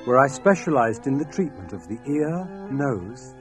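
A man's voice: medium pitch, very animated, moderate pace, music in the background. A man narrating and playing a pompous Victorian doctor in a comic radio play. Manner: pompous, theatrical. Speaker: narrator and lead actor.

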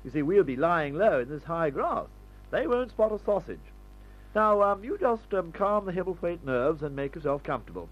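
Silly-ass English flyer, upper-class accent, highish lively voice, drawling bluster, old radio serial. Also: male voice, normal speed. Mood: jaunty, complacent. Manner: drawling, blustering, peppered with old-fashioned slang. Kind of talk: old-time radio adventure serial acting. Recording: dull, muffled old radio recording.

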